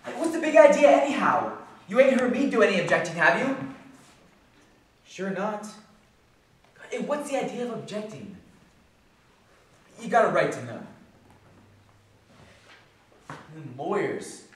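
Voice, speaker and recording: Man acting high-pitched agitated clerk, echoey stage. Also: sing-song. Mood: defensive, then scornful. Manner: rambling, halting, slangy, swinging from muttering to outbursts. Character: agitated, bitter working-class male bookkeeper confessing a killing. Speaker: stage actor. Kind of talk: theatrical stage monologue. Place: theatre stage. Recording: echoey distant stage recording, faint noise.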